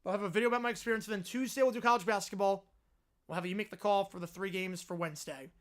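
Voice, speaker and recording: A man hosting a live sports reaction stream, chatting casually and answering viewers, his voice hoarse and worn out. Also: high voice, steady tone, very fast. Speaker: livestream host.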